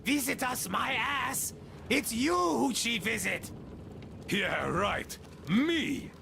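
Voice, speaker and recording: An actor playing an embittered wounded outpost leader in a video game, ranting, scornful and mocking, with a gravelly voice. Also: male voice, extremely high pitch, expressive, slow pace, pushed and bright, a little hiss or hum, exaggerated voice. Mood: bitter.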